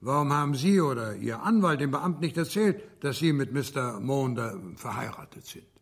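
An actor in a radio drama playing a calm, courteous male judge with quiet authority and a gravelly voice. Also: medium pitch, normal speed. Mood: puzzled. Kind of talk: German radio drama acting.

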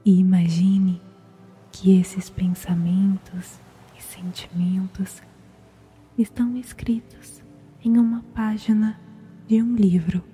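A woman with a Brazilian accent softly whispers a guided sleep meditation in a slow, soothing, gentle manner. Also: medium pitch, flat delivery. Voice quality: breathy, whispery. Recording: music behind the voice. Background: meditation music.

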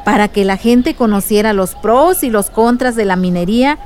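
Una actriz de radionovela que interpreta a una tía resuelta que cuenta y explica sus vivencias a su sobrina. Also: female voice, a little high, moderate pace, a little mellow, faint music behind, natural voice. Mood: reflective, explanatory. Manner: storytelling, explanatory. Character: aunt recounting past dangers to her niece, warm, resolute. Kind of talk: radio drama acting.

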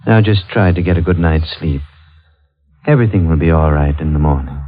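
An actor playing a coolly polite, sinister blind man in a 1940s radio thriller, speaking formally and with measured politeness. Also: very deep voice. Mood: smoothly polite. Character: courteous, sinister blind brother ruling an isolated house. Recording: dull old 1940s radio recording.

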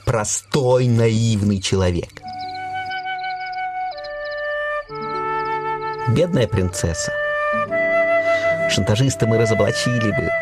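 An actor playing a cool, scheming prime minister with a measured, suave and commanding manner. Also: male voice, fairly low pitch, close to an everyday voice. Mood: wry. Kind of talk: Russian stage-comedy radio acting.

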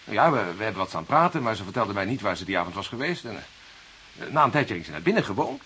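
A man's voice: lowish voice, very animated, quick pace, sharp tone. An actor playing a nervous, defensive murder suspect in a Dutch radio drama, hesitant and stammering as he repeats himself. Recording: hissy radio play recording.

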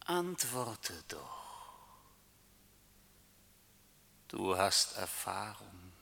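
Slow, sharp-voiced seducer in a reverberant hall. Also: male voice, sits low, very animated, creaky, slightly rough, exaggerated voice. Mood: mocking, insistent. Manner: theatrical, slow rhetorical questioning. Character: uncanny male seducer, eloquent, taunting, commanding, relentless. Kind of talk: literary radio play acting.

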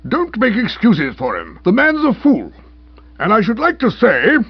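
A man's voice: fairly high pitch, big pitch swings, brisk, vocal fry, breathy edge, no echo, highs cut off, heavily acted. A man voicing a pompous, blustering older British lord and magistrate who speaks in orotund, long-winded sentences. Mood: outraged.

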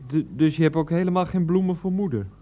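A man's voice: a little high, fast talker, mellow, muffled recording, close to an everyday voice. An actor playing a meek, defensive grown son in a radio play, mild and vague as he hesitates. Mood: plaintive.

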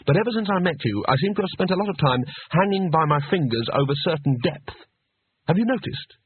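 A man acts out a cowardly man in mortal peril, grumbling with sharp sarcasm. His voice is rough and husky, swinging widely in pitch. It sounds like a comic fantasy storybook, heard through a narrow, phone-like band. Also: sing-song, brisk, heavily acted. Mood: exasperated.

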